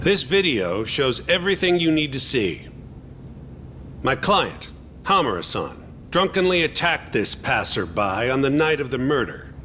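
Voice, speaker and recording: A man's voice: mid-range voice, big pitch swings, measured pace, bright, forceful tone, slight background noise. An actor playing an assertive male defense lawyer in a video game, laying out evidence in formal, declarative speech. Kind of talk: English video game voice acting.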